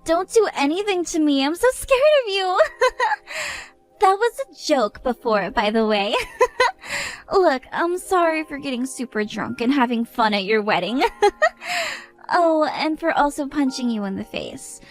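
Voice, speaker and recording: A woman's voice: high voice, animated, a little slow. A woman voicing a drunk, taunting young woman who keeps giggling in a mocking, sing-song way. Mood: mocking tipsy.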